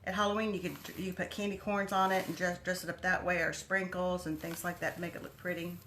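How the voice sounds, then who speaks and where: A woman's voice: lowish voice, little pitch movement, fast, a bit bright, slight background noise. Chatty Texan woman cooking live. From Texas. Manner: chatty, bubbly, talks to viewers by name. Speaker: cooking livestream host. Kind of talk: casual live cooking chat with viewers. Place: home kitchen.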